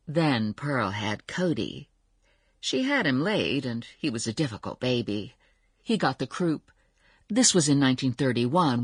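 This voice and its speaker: A woman's voice: very deep voice, breathy edge, no room sound, clean studio sound, heavily acted. A woman playing a wry storyteller narrating a family saga in a radio drama.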